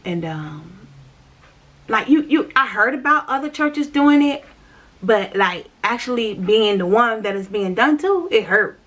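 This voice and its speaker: A woman's voice: fairly high pitch, lively intonation, a little slow, fairly bright tone. A woman chatting on her vlog, telling a personal church story. She repeats herself and talks straight to her viewers. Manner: chatty, repetitive, addresses viewers directly. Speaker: vlogger.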